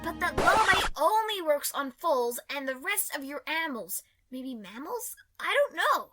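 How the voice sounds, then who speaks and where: A woman playing a very high-pitched brash young pegasus pony. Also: sing-song, normal speed, sharp tone, heavily acted. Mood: exasperated. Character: brash young female pegasus pony, impatient tired racer. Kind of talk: fan audio drama acting. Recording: music under the voice.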